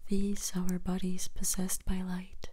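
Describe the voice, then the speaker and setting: A woman speaking in a hushed, breathy whisper in an intimate, measured ASMR style, as if reading poetry. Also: on the low side, monotone. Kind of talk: soft-spoken ASMR poetry reading and chat.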